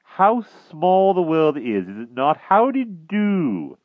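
A flustered storybook king, exclaiming in a high voice that swoops wildly. He is an old-fashioned gentleman, polite and dithering, on a slightly dull, dry recording. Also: wildly swooping pitch, slow pace, dry recording, exaggerated voice. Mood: nervous. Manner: flustered, posh verbal tics, exclaiming, polite.

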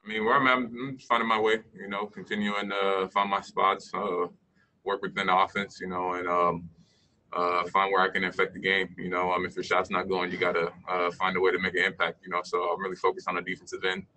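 A young man, a pro basketball player, answering post-game press questions over a video call, halting with many fillers. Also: sits low, completely flat delivery, bright, forceful tone, rough recording. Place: indoor media room.

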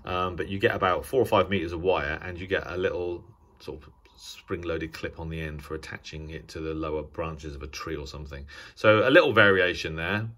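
A British man giving a casual, unscripted hobbyist demonstration, speaking haltingly and often restarting his sentences. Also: deep voice, fairly bright tone. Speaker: radio hobbyist presenting a video. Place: indoors at a table.

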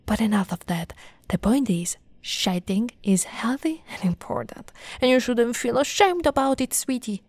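A young woman playing an affectionate, teasing lamia girlfriend in an ASMR roleplay, soft-spoken and often whispering, with a breathy, coaxing delivery close to the mic. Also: fairly high pitch, animated, dry recording. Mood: reassuring. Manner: slow, breathy, coaxing delivery with pet names. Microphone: close, dry microphone.

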